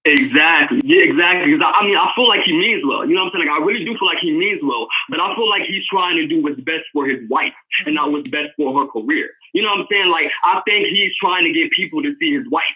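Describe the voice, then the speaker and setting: A man with a New York accent calling in, talking fast and earnestly. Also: a little high, sharp tone, husky. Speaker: caller. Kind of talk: casual chat. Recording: muffled phone-in audio.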